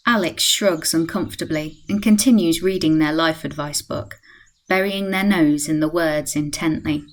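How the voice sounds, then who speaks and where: A woman's voice: sits low, normal speed. A woman reading scripted scene narration as the narrator of a radio play.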